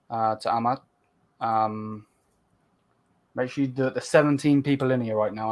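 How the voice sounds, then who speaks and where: A laid-back man chats on a late-night livestream. His voice sits in an ordinary middle pitch, and his talk is loose and sweary as he presses viewers to subscribe. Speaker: channel host.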